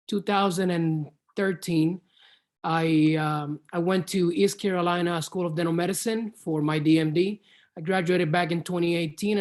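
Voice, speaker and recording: Earnest man on a video call. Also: a little high. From Cuba. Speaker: dentist, alumnus speaker. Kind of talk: virtual panel introduction.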